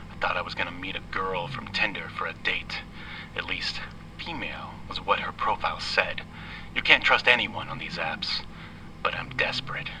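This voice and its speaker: A noisy, off-mic field recorder playback of a very deep male voice. He is a sleepless, self-pitying musician rambling through a deadpan spoken diary. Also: far from the mic, exaggerated voice. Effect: played back from a field recorder.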